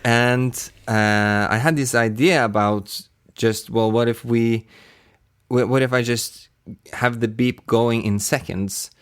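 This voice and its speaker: A man working as a sound designer, chatting casually and haltingly, often repeating his words. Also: medium pitch, steady tone, clear, resonant tone. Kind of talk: casual chat.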